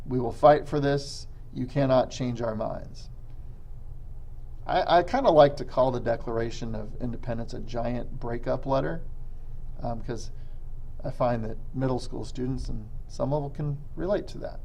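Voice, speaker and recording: An American man, a middle school history teacher, explaining deliberately and emphatically in a recorded lesson for students. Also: medium pitch, creaky, dark tone, a little hiss or hum, poor audio.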